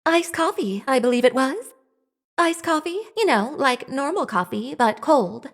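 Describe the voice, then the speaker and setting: High-pitched cutesy, sly witness. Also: female voice, expressive, a little slow. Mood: coy. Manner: coy, sassy, girlish. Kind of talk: video game voice dub. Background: music.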